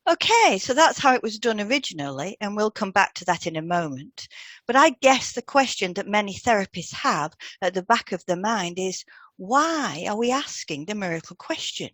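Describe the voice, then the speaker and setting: Woman podcast host reading script, mid pitch, very varied intonation, careful phrasing. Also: sing-song, normal speed, fairly bright tone, no echo.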